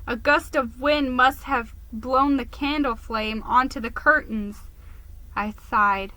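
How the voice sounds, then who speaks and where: Woman storybook reader, high bright voice, theatrical emphasis, unhurried dramatic narration. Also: no room sound, low-quality recording. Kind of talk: dramatic reading of a children's story.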